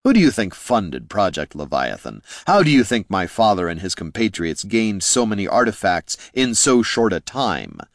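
A man voicing a necromancer's son in a fantasy audiobook, explaining things in a measured, bitter, knowing way. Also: lowish voice, very animated, no echo, clean studio sound.

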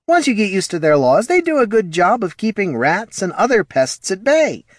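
Male narrator as prim, flustered small fantasy businessman, raised pitch, very animated, wordy. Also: normal speed, no echo, studio quality. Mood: earnest. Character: fussy, anxious, proper little gnome-like businessman.

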